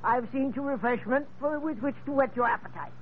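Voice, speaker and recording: An actor playing a falsely jovial, pompous major with flowery speech in an old-time radio drama. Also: male voice, very high-pitched, brisk, narrow, tinny audio. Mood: jovial, unctuous. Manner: pompous, flowery, chuckling phrasing. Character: hearty, florid, falsely jovial old-fashioned gentleman major. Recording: thin, noisy vintage radio recording.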